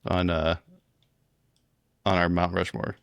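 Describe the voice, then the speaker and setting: A man's voice: low voice, monotone, slow, smooth, no echo, studio quality. A man co-hosting a casual history podcast, easygoing and conversational as he weighs scores with his partner. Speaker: podcast co-host. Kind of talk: casual chat.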